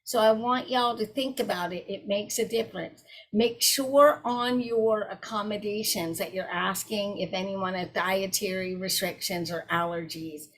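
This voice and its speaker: A woman's voice: mid-range voice, normal speed, low-quality recording. Brief: woman, webinar presenter, direct conversational delivery over a video call. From South Carolina. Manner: warm, direct, addresses audience as y'all.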